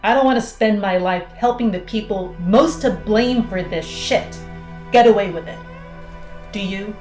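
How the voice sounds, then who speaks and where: A woman's voice: medium pitch, unhurried, darkish tone, close to an everyday voice. A woman playing a cynical, defiant astronaut in an audio drama, blunt, sardonic and persuasive, plotting an escape. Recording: roomy sound with music underneath.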